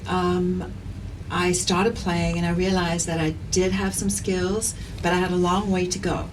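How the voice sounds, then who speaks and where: A middle-aged woman with a South African accent talks energetically in an interview, her voice a little low. She sits back from the microphone, and a steady noise hangs behind her. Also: unhurried. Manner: energetic, earnest, repeats herself while thinking. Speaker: guest, padel league founder. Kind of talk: conversational interview. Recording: distant mic with clear background noise.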